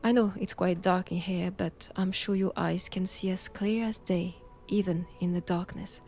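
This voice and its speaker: A woman's voice: on the low side, fairly even intonation, mellow, a little hiss or hum, natural voice. A woman with a French accent playing a stern, righteous vampire-hunting nun in a roleplay audio drama, speaking in a formal, commanding manner. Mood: menacing, cold. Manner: slow, deliberate, formal, commanding.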